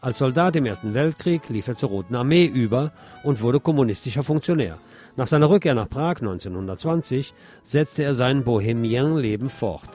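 A man's voice: medium pitch, a little mellow. Radio man over music. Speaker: radio host. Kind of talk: scripted radio narration. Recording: music behind the voice.

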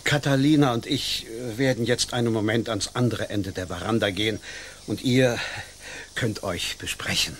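Radio play patriarch , male actor: middle-aged, somewhat low, formal and measured, earnest, slight background noise. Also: natural voice. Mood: formal, genial. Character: dignified father urging his daughter's advantageous marriage.

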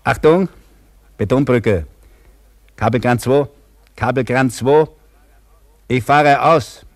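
An actor playing a proud, dutiful older crane operator in a 1950s radio drama. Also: male voice, mid-range voice, slow, natural voice. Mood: official, alert.

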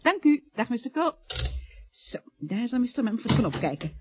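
An actress playing a loyal, efficient office secretary in a radio comedy, coaxing and expressive. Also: female voice, fairly high pitch, normal speed, noisy background. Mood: bright, businesslike. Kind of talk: radio comedy drama acting.

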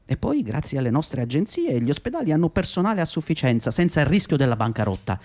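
An actor playing a condescending, self-justifying labour agency manager in a radio drama, with patronising, hesitant, repetitive speech. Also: male voice, a little high, big pitch swings, fast, a little mellow. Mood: smug, lecturing. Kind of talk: radio drama monologue and dialogue.

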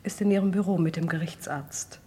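An actress playing a careful, deferential night nurse in a vintage German radio crime drama. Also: female voice, on the low side, fairly even intonation, fairly quick, warm tone, slightly rough. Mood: grave. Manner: careful, subdued, precise.

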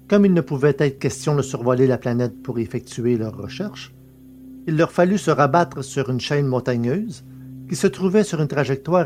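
A man's voice: mid-range voice, a little mellow. A man narrating a scripted science-fiction adventure story as its dramatic narrator.

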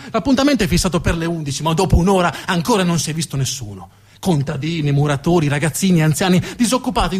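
A man's voice: high voice, slightly rough. A man telling a story as a stage narrator, emphatic and dramatic, building up lists and repetitions.

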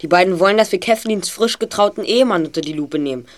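A woman's voice: lowish voice, quick pace, natural voice. Earnest, precise boy detective played by a woman. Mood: serious, puzzled. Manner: precise, inquisitive. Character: earnest, analytical teenage boy detective leader. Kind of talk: audio drama acting.

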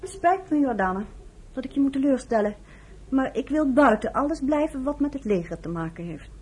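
A woman's voice: high voice, lively intonation, a little fast, darkish tone, slightly rough. An actress playing a proud, outspoken American woman working as a medic in a radio play. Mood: curt, firm. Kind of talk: Dutch radio play acting. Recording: faintly noisy radio play recording.

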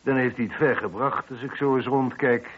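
A man's voice: mid-range voice, steady tone, moderate pace, breathy edge, natural voice. An actor playing a dry, sardonic police inspector in his thirties, questioning firmly in a radio play. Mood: sardonic, brisk. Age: 30s. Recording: dull, lo-fi old radio recording.

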